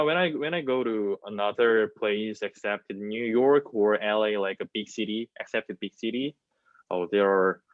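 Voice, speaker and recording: Man in his twenties, non-native English, slow halting delivery, student panelist, dry lo-fi call audio. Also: on the low side, no room sound. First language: not English. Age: 20s. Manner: hesitant, halting, searching for words. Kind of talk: informal panel discussion. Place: plain room indoors. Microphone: wireless earbuds on video call. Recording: dull lo-fi video call.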